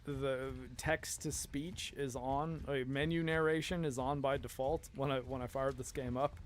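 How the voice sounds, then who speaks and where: A man streaming a game, rambling in casual commentary with a hoarse voice and frequent laughter. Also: mid-range voice, fairly even intonation, a little slow, slight background noise. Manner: chatty and rambling, laughs often.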